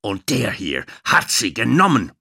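A man's voice: lowish voice, very animated, unhurried, vocal fry, breathy edge, pitched above the performer's own voice, heavily acted. A man voicing an angry, blustering male hotel guest who huffs and accuses indignantly in a children's audiobook. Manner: loud, indignant, huffing.

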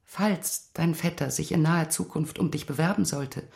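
Older lady, deep register, formal, fond, persuasive, measured, radio play. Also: creaky, darkish tone. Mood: earnest, persuasive. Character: dignified, affectionate older lady advising her young friend. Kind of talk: literary radio play acting.

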